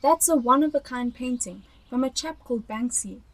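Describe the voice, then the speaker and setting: A woman's voice: on the high side, normal speed, low-quality recording. A young woman with a South African accent playing a bossy, chatty, house-proud elderly wife in an amateur radio drama. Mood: proud, insistent. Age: teenager. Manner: insistent and chatty.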